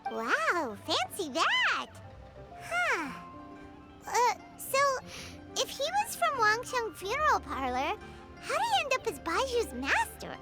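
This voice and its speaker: A woman voicing a tiny, excitable, nosy fairy companion in a video game, chattering in a bubbly high childlike voice. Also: slow, heavily acted. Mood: intrigued. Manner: bubbly, speaks of herself in third person. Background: game music.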